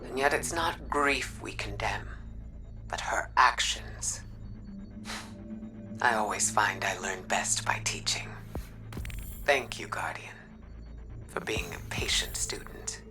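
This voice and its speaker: An actress playing a grieving, reflective female mentor in a video game, solemn and weary, in a measured, introspective monologue. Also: extremely low pitch, in a whisper. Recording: music under the voice.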